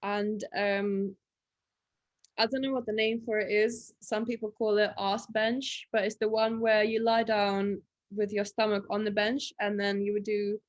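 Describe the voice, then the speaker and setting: A young woman who grew up in England after early childhood in Latvia, a Russian speaker, chatting casually as a podcast guest and pausing often to find her words. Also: mid-range voice, dry recording, lo-fi sound. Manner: relaxed, thinks aloud with many fillers. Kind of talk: casual interview chat.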